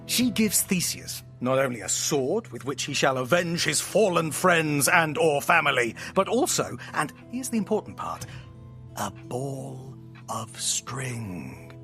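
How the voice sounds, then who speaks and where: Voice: mid-range voice, very animated, unhurried, fairly bright tone, faint music behind. An actor in an audio drama playing a playful, theatrical storyteller who narrates with grand melodrama and teasing asides. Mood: mock-grand. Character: playful, theatrical storyteller friend spinning a myth at home.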